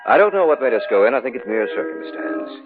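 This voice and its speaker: A man's voice: medium pitch, big pitch swings, fast, music in the background, lightly acted. A man playing a matter-of-fact narrator telling his own story in a 1950s American radio drama. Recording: tinny 1950s radio recording.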